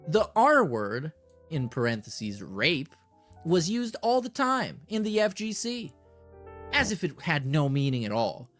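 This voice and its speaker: A man's voice: on the high side, big pitch swings, a little slow, fairly bright tone, faint music behind. A man doing casual reaction commentary and reading messages aloud, in a slangy, mocking, sweary way. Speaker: streamer and commentator.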